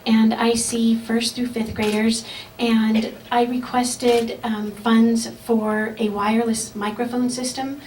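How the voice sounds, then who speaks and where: Flat-voiced woman teacher thanking donors. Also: a little high, slow, recorded from a distance, a little hiss or hum, rough recording. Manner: loud, even and matter-of-fact. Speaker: elementary science teacher. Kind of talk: short acceptance speech.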